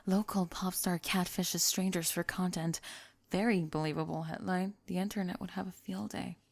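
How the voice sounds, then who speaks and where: A woman's voice: lowish voice, fairly even intonation, moderate pace, creaky, no room sound. A woman acting a famous young pop star on a date in an ASMR roleplay, confiding and hesitant, kind and playful but world-weary. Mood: playful, fond. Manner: confiding, hesitant, playful, trailing into soft murmurs. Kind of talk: intimate ASMR roleplay audio, one-sided date conversation.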